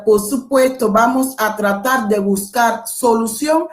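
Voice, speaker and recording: Slow-speaking Cuban woman lecturing. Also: on the high side. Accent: Cuban Spanish. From Cuba. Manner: measured, explanatory, with thinking pauses and self-corrections. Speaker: guest lecturer. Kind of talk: academic webinar lecture.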